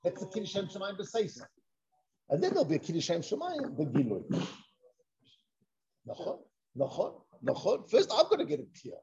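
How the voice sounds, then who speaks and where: A man teaching an informal Torah discussion, excitable, repeating himself and mixing in Hebrew and Yiddish terms, on a dull lo-fi recording. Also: high-pitched, brisk, pauses often, slightly rough.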